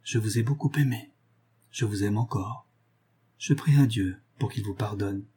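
A man's voice: medium pitch, moderate pace, mellow, breathy edge, natural voice. A man reading a novel aloud as a remorseful married noblewoman, grave, reproachful and resolute as she renounces her lover. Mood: sorrowful resignation.

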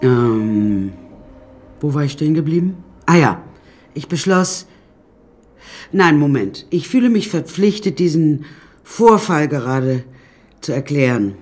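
An actor playing a wry, rambling storyteller who recounts a troubled childhood in a radio drama monologue, with hesitant asides. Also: male voice, on the high side, very animated, slow pace, pauses often, a little mellow, exaggerated voice. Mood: flustered, apologetic.

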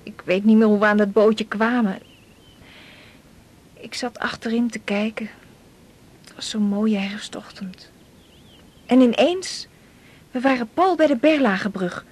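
An actress playing a lovelorn, wistful young woman in a naturalistic radio drama, confiding in a halting, often breathy way. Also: a little high, a little mellow. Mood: heartbroken, nostalgic. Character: lovelorn, wistful young woman confessing hopeless love to colleague.

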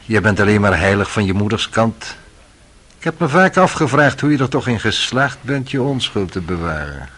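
A man's voice: fairly low pitch, sing-song, normal speed, a bit bright. An actor playing a cynical, worldly young man in a radio drama, sly and needling in manner. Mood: mocking, insinuating. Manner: sardonic, insinuating.

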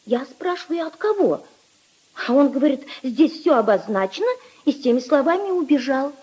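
An actress playing a flustered, servile young housemaid in a 1950s Soviet radio drama, apologising in folksy servant speech. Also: female voice, high voice, very animated, normal speed, a little mellow. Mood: anxious, apologetic. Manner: breathless excuses, deferential, folksy servant speech.

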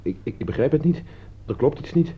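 A man's voice: fairly high pitch, expressive, a little fast, breathy edge. A man playing a rattled, defensive suspect in a radio drama, stammering and anxious as he talks. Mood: agitated. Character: rattled, defensive male nephew under suspicion. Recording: muffled, noisy old radio play recording.

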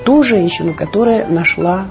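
A woman's voice: lowish voice, moderate pace, gravelly. A woman talking reflectively about her own work as a theatre director, in an earnest, emphatic manner. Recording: faint music behind the voice. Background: music.

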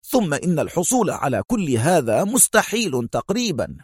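Casting: man as authoritative male consul in an audio thriller, sceptical, firm, pitched up, very animated. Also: moderate pace, slightly rough, no room sound, clean studio sound. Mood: sceptical, emphatic. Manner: firm, incredulous, emphatic.

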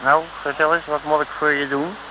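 An actor playing a cocky, wisecracking streetwise detective, in an old radio serial style. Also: male voice, fairly high pitch, flat delivery, a little fast, lightly acted. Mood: breezy, joking. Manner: breezy, slangy, wisecracking bravado. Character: cocky, streetwise male detective from Rotterdam, joking braggart. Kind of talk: 1950s-60s Dutch radio serial acting. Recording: noisy old radio recording.

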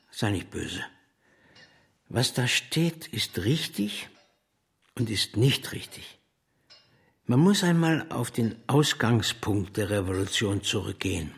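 Radio drama revolutionary, male: argumentative, rhetorical, troubled by doubt, deepish husky voice. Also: sing-song, slow pace, long pauses, full character voice. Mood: earnest, troubled. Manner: rhetorical, declamatory. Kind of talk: German radio drama acting.

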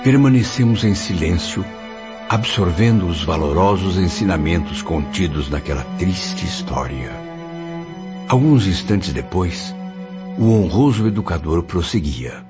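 Casting: deep-voiced, deferential spirit disciple, formal and curious, muffled radio drama with music. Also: male voice, animated, measured pace. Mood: reflective narrating. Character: respectful male spirit student, earnest, curious. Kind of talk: radio drama acting and narration. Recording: dull recording over music.

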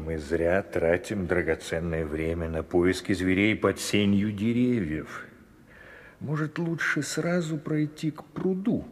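A man's voice: on the low side, slightly rough, exaggerated voice. A man playing a cautious, deferential ageing vizier, pleading and obsequious in a fairy-tale audio play.

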